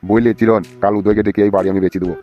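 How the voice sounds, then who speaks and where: Man playing a grumbling, low-voiced old village father-in-law. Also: level tone, racing, lo-fi sound, lightly acted. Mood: angry, threatening. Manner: grumbling, coarse, demanding. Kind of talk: comedy cartoon dubbing. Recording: music playing behind the voice.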